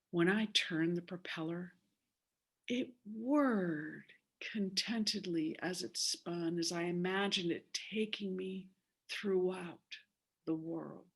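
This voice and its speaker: A woman in her sixties telling a story theatrically, with deliberate, dramatic emphasis. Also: mid-range voice, slightly rough. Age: 60s. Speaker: storyteller at a speaking club.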